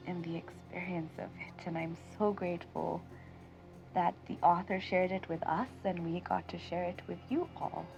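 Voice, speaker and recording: A woman's voice: on the low side, gravelly, lo-fi sound. A woman with a Kenyan accent hosting a fiction podcast, talking expressively and stretching words for emphasis. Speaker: podcast host. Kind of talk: warm outro chat.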